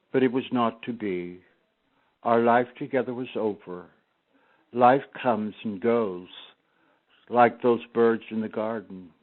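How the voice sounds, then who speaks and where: A man reading his own poem in a measured, reflective way, on a tinny, narrow-sounding recording. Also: mid-range voice. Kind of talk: scripted poetry recitation.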